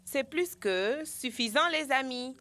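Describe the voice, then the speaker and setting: A woman's voice: high-pitched, animated, takes it slowly, fairly bright tone, soft background music, exaggerated voice. A woman voicing a greedy, carefree and boastful young character in a children's cartoon, cheeky and breezy. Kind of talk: children's educational cartoon dubbing.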